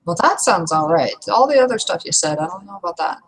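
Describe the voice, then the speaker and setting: Woman playing a blunt, gossipy, low-voiced fantasy woman. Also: expressive, fast, breathy edge. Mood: curious, unconvinced. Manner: blunt, breezy, teasing.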